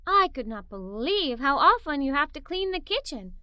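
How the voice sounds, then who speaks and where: A woman reading a children's story aloud in the first person, with animated, expressive delivery. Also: high voice, moderate pace, dry recording, studio quality. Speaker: storybook narrator.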